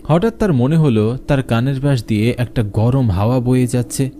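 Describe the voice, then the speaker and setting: A man's voice: medium pitch, normal speed, warm tone, a little hiss or hum. A man narrating a scripted horror story for a cartoon.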